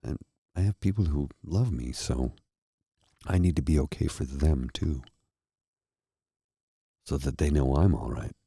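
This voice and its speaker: A tired man with a husky, slightly froggy throat, hosting his own show and talking earnestly and slowly to his viewers. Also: extremely low pitch, warm tone, dry recording, studio quality. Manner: slow, earnest, heartfelt. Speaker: host. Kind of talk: candid personal talk to viewers.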